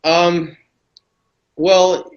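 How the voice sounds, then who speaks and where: A man being interviewed as a guest, chatting casually and relaxed with frequent "you know" fillers. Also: high-pitched, very slow, lo-fi sound. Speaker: guest pro bodybuilder.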